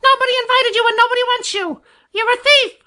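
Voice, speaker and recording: Extremely high-pitched, harsh, piercing, hot-tempered storybook jay, screaming accusations. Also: male voice, fairly even intonation, fast talker, bright, forceful tone, heavily acted. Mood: screaming anger. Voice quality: harsh, screechy. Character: furious, shrieking male blue jay, scolding and hot-tempered. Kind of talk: animal storybook character voice.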